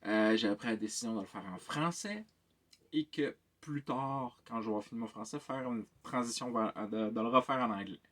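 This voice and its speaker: A man's voice: mid-range voice, moderate pace, a bit bright. A man with a Québécois French accent, giving a casual, rambling vlog update as a tabletop game master. He sounds very tired and hesitates often, with many filler sounds and repeated words.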